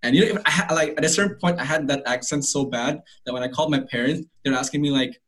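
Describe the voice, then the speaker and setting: Young male host from Malaysia, rapid and chatty, full of fillers and restarts. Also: on the high side, fairly bright tone. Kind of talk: casual conversation.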